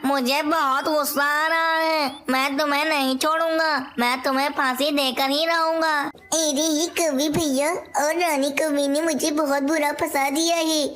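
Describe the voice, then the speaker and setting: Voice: high-pitched, flat delivery, a little slow, hardly any pauses, pushed and bright. A performer voicing a talking bird in a children's cartoon tale, speaking in simple storybook dialogue. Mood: pleading.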